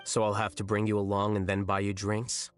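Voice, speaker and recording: An actor playing an aloof young male scholar who talks in a calm, deadpan, cutting way. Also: on the low side, fairly quick, soft background music, close to an everyday voice. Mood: dry. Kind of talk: video game dialogue.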